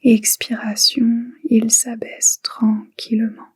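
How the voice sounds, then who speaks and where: A woman guiding a relaxation meditation in a breathy, whispery voice, soothing and hushed, in short spaced phrases. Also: fairly high pitch, level tone, takes it slowly. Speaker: guided meditation instructor. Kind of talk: guided relaxation meditation, soft and unhurried.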